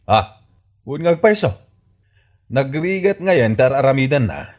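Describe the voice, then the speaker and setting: Gossipy, animated Ilocano villager. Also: male voice, medium pitch, unhurried. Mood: teasing. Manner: teasing, animated. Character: gossipy, teasing village man sharing a secret. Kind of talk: Ilocano radio comedy drama acting.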